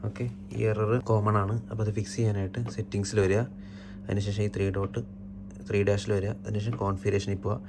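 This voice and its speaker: A Malayali man presents a hands-on tutorial in a fairly low voice. His intonation stays very flat. He sounds matter-of-fact and unscripted as he moves from one step to the next. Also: fast talker, noisy background. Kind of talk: hands-on technical walkthrough, unscripted.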